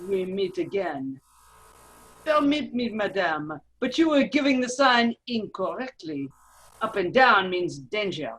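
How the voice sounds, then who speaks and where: A woman's voice: medium pitch, big pitch swings, unhurried, fairly bright tone. A woman playing a cold, menacing male French revolutionary agent in an amateur radio play, clipped and coolly formal. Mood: coolly smug. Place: remote virtual table read.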